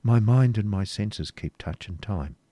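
A man voicing a defiant young woman who speaks quietly and solemnly, steadfast before death. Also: lowish voice, lively intonation, unhurried, no room sound.

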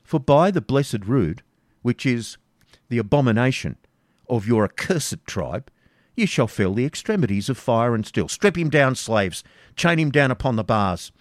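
A man's voice: medium pitch, expressive, normal speed, darkish tone, no echo, clean studio sound, voice pushed up, lightly acted. Man doing a harsh, savage baron. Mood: menacing. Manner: harsh, commanding. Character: savage, cruel medieval baron, stern.

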